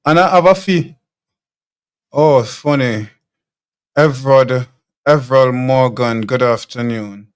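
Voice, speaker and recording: A man's voice: mid-range voice, slow, long pauses, clear, resonant tone. A Jamaican man hosting a live talk show, reading viewer comments aloud and answering them bluntly, often restarting his phrases. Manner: blunt, combative replies to commenters; loose, repeats and restarts phrases. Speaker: show host.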